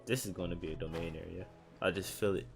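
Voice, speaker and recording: A man's voice: low voice, steady tone, fast talker, vocal fry. A young man giving casual let's-play commentary in a slangy, offhand way. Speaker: gaming streamer. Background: game music.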